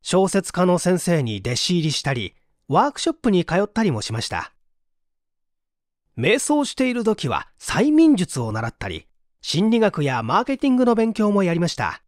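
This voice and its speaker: Animated man reading a book aloud. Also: high voice, normal speed, dry recording, clean studio sound. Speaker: audiobook narrator. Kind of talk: scripted book narration.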